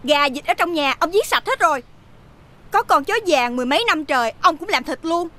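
A woman's voice: sits high, lively intonation, fairly quick. An actress playing a fed-up village wife, nagging and scolding her drunkard husband in a folk-tale film. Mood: exasperated. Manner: sharp, nagging complaint.

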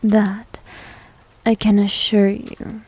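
A woman playing a needy, teasing young succubus demon in an ASMR roleplay, with a soft, breathy, often whispered voice and coy manner. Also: mid-range voice, little pitch movement, no room sound. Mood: sulky, teasing. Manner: coy, slow, coaxing. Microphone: close microphone.

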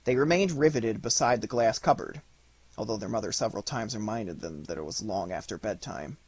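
A man's voice: lowish voice, fairly quick, darkish tone, breathy edge, dry recording. A man reading an audiobook, giving scripted storybook narration. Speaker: audiobook reader.

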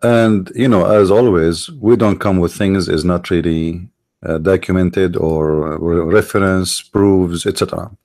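Middle-aged man, Arabic accent, low register, halting commentary host with frequent restarts. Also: steady tone, a little mellow. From Middle East. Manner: halting, repeats and restarts words, stresses points. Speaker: online show host. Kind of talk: monologue commentary.

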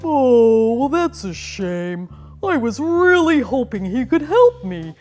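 A woman's voice: a little high, big pitch swings, slow, faint music behind. A woman voicing a courteous, apologetic, hiccuping long-necked dinosaur the size of a big dog in a children's story. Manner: courteous, gently apologetic, well-spoken.

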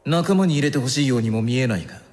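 An actor playing a cold, haughty young intellectual villain who speaks pompously and analytically in an anime game. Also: male voice, mid-range voice, lively intonation, quick pace, a little mellow, natural voice. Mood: suspicious. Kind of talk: anime game cutscene acting. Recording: long reverb, music behind.

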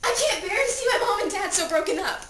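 A woman's voice: high-pitched, animated, unhurried. A young woman performing a dramatic monologue as an anguished, pleading seventeen-year-old girl who has died, with theatrical delivery. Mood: frantic, pleading. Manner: theatrical, swinging from hushed telling to desperate cries. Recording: echoey room, distant mic, clear noise.

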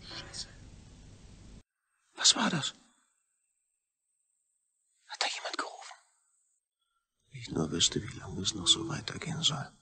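Give this to a tormented spaceman in a radio play. His low voice is badly hoarse-edged and gravelly, sliding widely in pitch. He broods intensely, often close to whispering. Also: sing-song, takes it slowly, long pauses, pushed and bright, full character voice. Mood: startled, exhausted. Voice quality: husky, gravelly. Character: anguished male astronaut reduced to a disembodied brain. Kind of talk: radio drama inner monologue.

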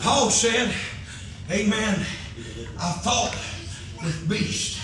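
A man preaching a shouted, fervent revival sermon in a church. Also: sits high, very slow, a crowd in the background. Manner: loud, fervent, preaching in bursts. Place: church sanctuary. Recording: echoey church, far from mic, congregation responding.

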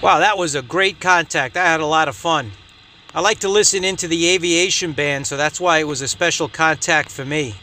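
A man's voice: fairly high pitch, a little fast, pushed and bright. A man hosting an amateur radio video channel, narrating in an upbeat hobby vlog style.

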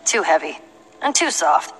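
A woman's voice: low voice, sing-song, measured pace, a bit bright, exaggerated voice, rough recording. An actress playing a tough, principled and suspicious young female adventurer in a video game. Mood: casual, dry.

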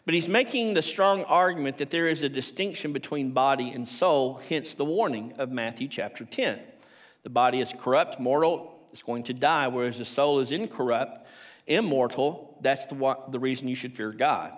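A man in his sixties with a Texan accent, giving a measured academic lecture and reading quotations aloud from a stage lectern. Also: medium pitch. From Texas, United States. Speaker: theology professor, conference speaker. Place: large auditorium stage at a lectern.

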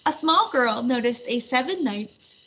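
Animated higher-pitched woman reading a storybook. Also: a little slow. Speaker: storybook reader. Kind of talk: animated children's read-aloud narration. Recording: slightly muffled, faint background noise.

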